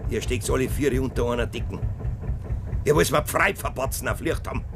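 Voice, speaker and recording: A man's voice: moderate pace, noisy background, close to an everyday voice. An actor playing a domineering older Bavarian farmer who bullies and threatens his son, in a 1970s radio play with a Bavarian accent. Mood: menacing. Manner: bullying, stubborn, turns to low threats. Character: domineering older male Bavarian farmer, a greedy tyrant father. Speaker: farmer father.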